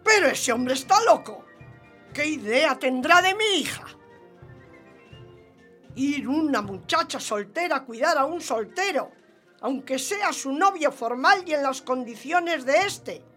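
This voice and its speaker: Haughty middle-aged mother, high voice, scandalized, imperious, radio drama, faint music behind. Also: very animated, unhurried, fairly bright tone, exaggerated voice. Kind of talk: radio theatre acting.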